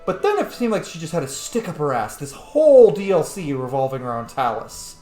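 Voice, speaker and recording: A male streamer with a fairly high, very animated voice. He gives casual, excitable game commentary full of slang, in a roomy recording with game music behind him. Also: brisk.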